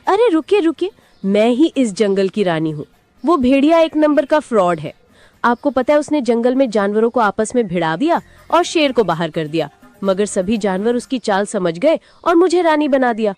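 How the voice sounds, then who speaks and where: A woman's voice: a little high, big pitch swings, normal speed, a little mellow, no room sound, soft background music. A woman voicing a sly, self-important fox who poses as a queen in a cartoon, crafty and haughty. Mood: insistent. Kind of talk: animated moral-story character acting.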